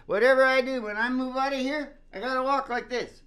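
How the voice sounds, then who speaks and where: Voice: high-pitched, fairly even intonation, a little fast, fairly bright tone, clean tone. A singer-songwriter hosting a music show in casual, teasing banter, easily sidetracked while talking.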